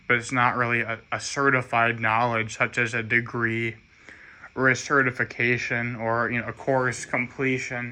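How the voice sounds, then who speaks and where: An American man giving a rambling, halting personal monologue in an earnest, aggrieved manner. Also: medium pitch, bright, forceful tone.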